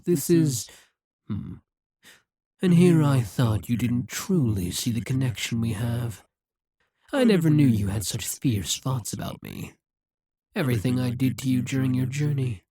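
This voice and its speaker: Breathy, husky demon ruler, acted out, musing slowly. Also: mid-range voice, mellow, no echo, studio quality, exaggerated voice. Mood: musing, wounded. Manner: slow, musing, condescending. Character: dominant demon ruler, haughty, wry, coldly possessive. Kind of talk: ASMR roleplay acting.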